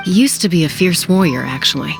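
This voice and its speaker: An actress playing a composed young woman warrior in a video game, frank, measured and matter-of-fact. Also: on the low side, expressive. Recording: soft game music behind.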